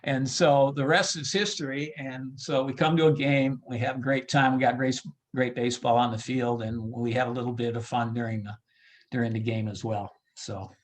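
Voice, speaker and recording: A man who works as a baseball PA announcer, chatting casually as an interview guest, often halting as he thinks aloud. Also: medium pitch, little pitch movement.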